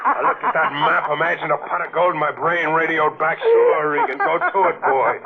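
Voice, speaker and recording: An actor playing a hard-boiled, greedy adventurer and killer in a melodramatic 1940s radio drama, with an American accent. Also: male voice, fairly high pitch, very animated, normal speed. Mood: furious, desperate. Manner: hard-bitten, slangy, melodramatic. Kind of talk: 1940s radio drama acting, first-person narration and dialogue. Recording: scratchy, noisy, narrow-band 1940s radio recording.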